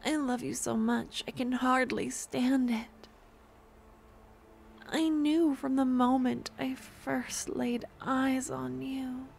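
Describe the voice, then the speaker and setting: Woman acting as lovesick female stalker, high voice, adoring and possessive, breathy murmurs and whispers, drawn-out halting phrases, ASMR roleplay. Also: little pitch movement, a little hiss or hum, full character voice. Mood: tender, obsessive. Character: obsessive, lovesick young female yandere necromancer stalker. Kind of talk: intimate ASMR audio roleplay.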